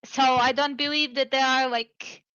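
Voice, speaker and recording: Woman from Serbia, video-call guest, bright higher voice, halting delivery with fillers, dull lo-fi line. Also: little pitch movement, smooth, dry recording. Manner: hesitant, many fillers and restarts. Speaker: caller. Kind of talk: casual debate chat.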